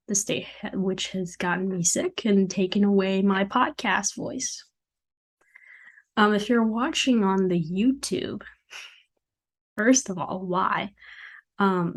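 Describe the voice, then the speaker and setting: A young woman with a hoarse voice, hosting her own podcast in casual, chatty solo talk from her dorm room, with self-deprecating jokes. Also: medium pitch. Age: young adult. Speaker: podcast host and founder. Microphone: desk mic at a computer.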